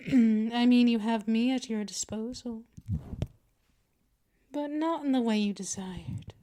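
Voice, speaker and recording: A woman's voice: on the high side, slow, warm tone. A woman playing a dominant, patient vampire mistress in a scripted ASMR roleplay, speaking in a condescending, teasing purr straight to the listener. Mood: stern, teasing. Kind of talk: scripted ASMR roleplay, intimate second-person address.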